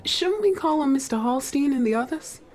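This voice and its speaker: A woman's voice: sits high, animated, normal speed, a little mellow. An actress playing a respectful but dryly skeptical female assistant in a 1920s audio drama. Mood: questioning. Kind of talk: period audio drama acting.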